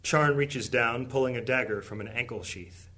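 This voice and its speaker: A male narrator reading a fantasy audio drama. His mid-pitched voice is steady and descriptive, paced for telling a story. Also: normal speed. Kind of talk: scripted narration.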